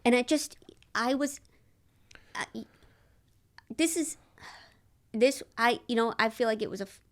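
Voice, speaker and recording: A woman with a high voice, a comedian guest on an interview podcast, quirky and self-deprecating, often rambling through her thoughts. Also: unhurried.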